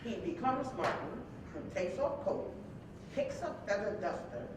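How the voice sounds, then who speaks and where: A woman's voice: mid-range voice, big pitch swings, a little slow. A woman reading stage directions aloud as scripted narration at a live staged play reading. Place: large echoey room, live staged reading. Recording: very echoey live hall, distant mic, noisy.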